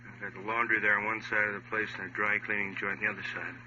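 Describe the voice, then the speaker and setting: Brusque, lowish-voiced police captain. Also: male voice, level tone, fast talker, sharp tone. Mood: brusque, commanding. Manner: blunt, authoritative, no-nonsense. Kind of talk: 1950s radio drama acting. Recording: muffled old radio recording, heavy noise.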